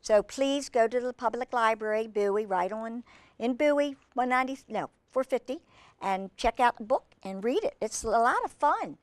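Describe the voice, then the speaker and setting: A woman hosting a children's storytime, reading aloud with drawn-out emphasis and chatting in asides to young listeners. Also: a little high, animated, no echo. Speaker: host reading picture books to children.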